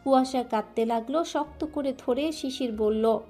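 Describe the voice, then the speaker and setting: A woman tells a scripted love story in a soft, emotive manner. Her voice sits a little above the usual range. Gentle music runs faintly beneath her. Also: high-pitched, normal speed. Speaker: story narrator. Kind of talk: scripted romantic story narration.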